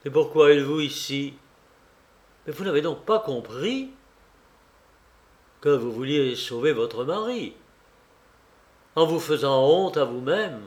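A man voicing a proud, ruthless male banker in an audiobook reading, loud, cynical and cutting. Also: on the high side, animated, slow pace, pauses often. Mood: furious gloating.

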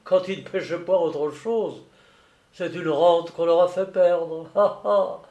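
A male narrator acts out a jovial, drunken lighthouse keeper from a storybook. He is loud, boastful and coarse, bursting into exclamations at a raised pitch. The room rings slightly around him. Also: fairly even intonation, takes it slowly, echoey room, highs cut off. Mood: boastful, excited. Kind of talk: dramatised audiobook storytelling.

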